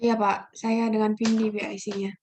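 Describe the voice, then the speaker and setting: Polite young female student, mid-pitched. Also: level tone, normal speed, a little mellow, a little hiss or hum. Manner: polite, deferential. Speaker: student class coordinator. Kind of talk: answering a lecturer in an online class.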